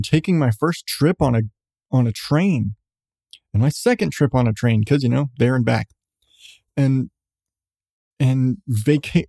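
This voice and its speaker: Man, middle-aged, calm voice, mellow tone, casual profane podcast rambling. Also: medium pitch, no room sound. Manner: profane, candid, laughs often. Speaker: podcast host. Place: seated at home on a creaky chair.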